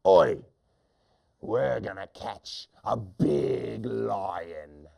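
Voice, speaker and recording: A man's voice: fairly low pitch, drawn-out words, gravelly, mellow, exaggerated voice. A man voicing a mean, bossy, short-tempered lion hunter with emphatic, commanding delivery in a told story.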